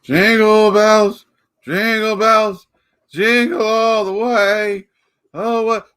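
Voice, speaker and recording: An actor playing a drunken, grumbling department-store parade Santa in a radio play, tipsy and defiant. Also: male voice, sits high, fairly even intonation, very slow, a bit bright, smooth, full character voice. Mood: tipsy singing. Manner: tipsy, sing-song, grumbling. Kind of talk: 1940s-style radio play acting.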